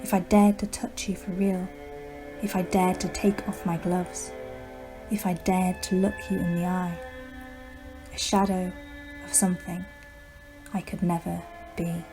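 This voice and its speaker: Casting: woman as unsettled, self-justifying plant owner in a poetic radio play, hushed and halting, music clearly underneath. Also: on the low side, little pitch movement, poor audio. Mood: bitter, defensive. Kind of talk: radio-drama style poetic monologue.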